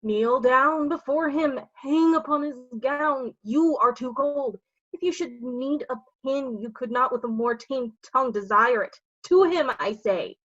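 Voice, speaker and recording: High-voiced, prodding sly onlooker, played by a woman. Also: measured pace, fairly bright tone, dull audio. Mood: urging. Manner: prodding, conspiratorial asides. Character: sly male onlooker Lucio, urging and coaching. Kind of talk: Shakespeare staged reading.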